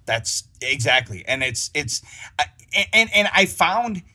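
A man's voice: on the high side, very animated, measured pace, bright, forceful tone. A man hosting a casual interview, talking in a rambling way with many restarts and laughs. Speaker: podcast host.

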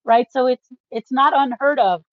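A woman with a fairly high voice talks casually as a podcast co-host. She explains patiently in short steps, often checking that she is understood. The recording is dry and a little muffled. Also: normal speed, dry recording. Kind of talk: casual book discussion.

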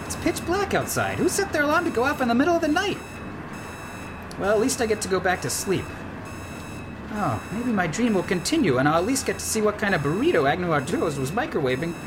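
Man acting an excitable band leader, swooping pitch. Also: quick pace, background noise. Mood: bewildered, annoyed. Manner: theatrical, exclamatory, talks to himself. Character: earnest, excitable, sweetly fussy male band leader. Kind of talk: comedic radio play acting.

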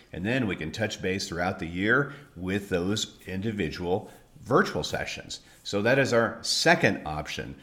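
Animated man hosting a podcast, roomy sound. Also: lowish voice. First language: English. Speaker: podcast host. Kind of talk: conversational podcast introduction and interview. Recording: roomy echo with background noise.

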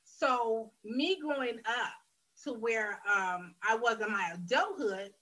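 A woman's voice: a little high, slow pace, pushed and bright, low-quality recording. A woman, a guest on a faith interview, telling her life story earnestly and candidly, often restarting her phrases. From Detroit, Michigan, USA. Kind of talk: personal testimony in a faith interview.

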